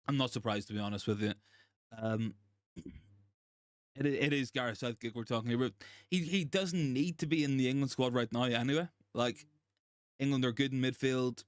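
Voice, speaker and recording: A young man with a hoarse, gravelly voice, still worn from a virus. He hosts a relaxed livestream, stumbling into restarts and repeating phrases between ums. His voice sits at an ordinary pitch and sounds dry and close. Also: no room sound. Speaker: livestream host. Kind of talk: casual live chat reading comments.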